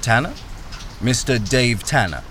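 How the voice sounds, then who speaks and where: A man's voice: medium pitch, lively intonation, a little slow, fairly bright tone, a little hiss or hum. An actor playing a weary, wary ex-soldier and miner who tells his own story in a radio crime drama. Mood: insistent, concerned. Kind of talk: radio crime drama, first-person narration and dialogue.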